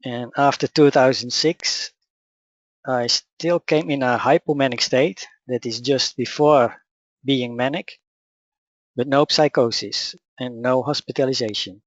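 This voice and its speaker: Dutch-accented male speaker, mid-pitched, calm and measured; dry, slightly muffled recording. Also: no echo. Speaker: spiritual teacher. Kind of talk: personal account and teaching talk.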